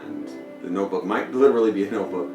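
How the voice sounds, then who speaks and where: Low-voiced man co-host, rambling, heard from across an echoey room with music behind. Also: very fast, gravelly, recorded from a distance. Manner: rambling, loose, lots of fillers and restarts. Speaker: podcast co-host. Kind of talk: casual chat.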